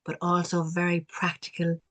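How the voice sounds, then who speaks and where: A woman with a strong Cork accent talks on a video call, her pitch low-set and held fairly flat. She tells her stories in a reflective way, pausing now and then. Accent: strong Cork Irish. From Cork, Ireland. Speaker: community development worker. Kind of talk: online panel talk.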